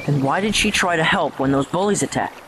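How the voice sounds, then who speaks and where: A man's voice: on the high side, fairly quick, fairly bright tone, a little hiss or hum, close to an everyday voice, low-quality recording. A man playing a troubled teenage boy, anguished and defensive as he struggles with a possessing voice, in an audio drama. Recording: voice over prominent music.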